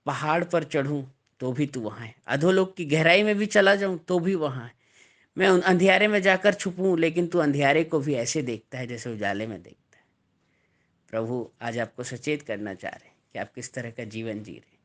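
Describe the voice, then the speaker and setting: A man preaching a sermon, deliberate and emphatic, repeating and explaining phrases as he reads scripture. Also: fairly high pitch.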